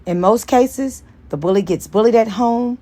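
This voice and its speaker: A woman voicing a sassy, sharp-tongued young female school nurse in an audiobook, animated and slangy. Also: a little high, normal speed, voice pushed up, lightly acted. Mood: teasing, chatty. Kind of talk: dramatized audiobook dialogue.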